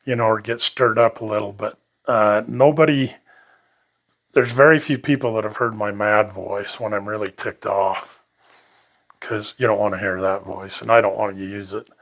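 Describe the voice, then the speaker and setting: A man's voice: on the low side, steady tone, moderate pace, a bit bright. An older man reminiscing about his life in an interview, rambling and folksy, chuckling often.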